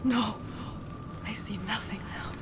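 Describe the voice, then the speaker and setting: An actress playing a tormented, grieving young warrior in a video game, with a breathy, fragile, halting voice. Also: female voice, a little high, steady tone. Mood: despairing. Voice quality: breathy, whispery. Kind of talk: dramatic video game acting.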